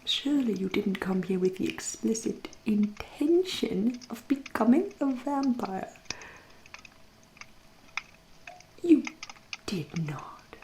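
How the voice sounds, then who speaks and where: A woman playing a centuries-old vampire in an ASMR roleplay, with an English accent, a soft breathy half-whisper and a genteel, teasing, politely menacing manner. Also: fairly high pitch, sing-song, a little hiss or hum, poor audio. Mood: amused disbelief. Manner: slow, deliberate, politely menacing.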